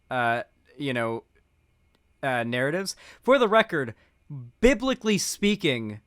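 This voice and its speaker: A man's voice: a little high, fairly bright tone, clean tone, dry recording, studio quality. Rambling sarcastic man streaming commentary. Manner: rambling, many fillers and restarts, sarcastic.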